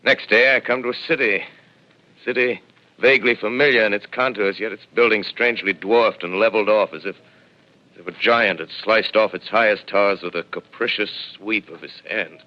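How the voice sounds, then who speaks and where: An actor playing a shaken lone astronomer surviving in a deserted land, in a hushed, reflective 1930s radio drama style, on a dull lo-fi old broadcast. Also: male voice, lowish voice, steady tone, moderate pace, natural voice. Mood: brooding.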